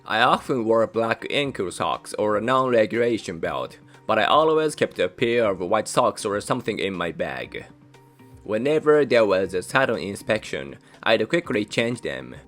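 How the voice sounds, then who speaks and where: Man, Japanese accent, fairly deep voice, careful scripted narration, faint music underneath. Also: sing-song, moderate pace. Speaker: channel host. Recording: soft music behind voice.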